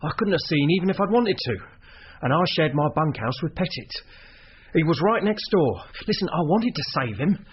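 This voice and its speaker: Defensive undercover detective, muffled recording. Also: male voice, a little high, animated, moderate pace, slightly rough. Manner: matter-of-fact, self-justifying. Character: defensive undercover British police detective recounting events. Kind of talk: British radio crime drama acting.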